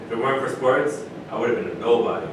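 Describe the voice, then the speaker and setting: A man's voice: animated, quick pace, close to an everyday voice. A man reading the part of a very tall, boastful, self-assured retired sports star in a staged play. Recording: distant echoey stage recording, rumbling noise.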